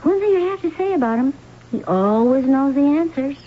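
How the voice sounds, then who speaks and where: An actress playing a scheming, possessive, cold-blooded mistress in a 1970s radio drama, coaxing, hard and mocking. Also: female voice, high voice, lively intonation, brisk. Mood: cool, goading. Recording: dull, noisy old radio recording.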